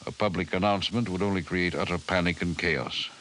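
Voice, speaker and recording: An actor playing an authoritative president in 1950s radio drama style, formal and deliberate. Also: male voice, low voice, steady tone, fairly bright tone. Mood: resolute, somber. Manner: formal, deliberate, weighty delivery. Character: authoritative, decisive male president of a nation. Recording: hissy 1950s radio recording.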